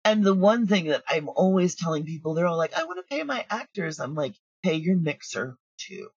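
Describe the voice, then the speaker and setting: A woman talking casually as an interview guest, telling stories in an animated way with quoted asides. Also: on the low side, brisk, slightly rough, no echo, muffled recording. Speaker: audio drama creator, interview guest.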